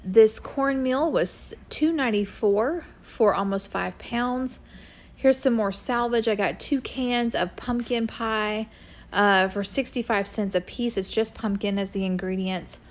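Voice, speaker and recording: A woman, casually chatting through her grocery haul and weekly meal plan at home. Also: a little high. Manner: chatty, friendly, quick asides. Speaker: homestead vlogger. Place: home kitchen. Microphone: camera a few feet away.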